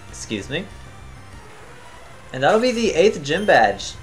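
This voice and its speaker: A tired man giving casual, chatty commentary as he plays a video game, thinking out loud and humming to himself. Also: a little high, big pitch swings, slow pace, soft background music, low-quality recording. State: tired, voice near cracking. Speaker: gaming channel host.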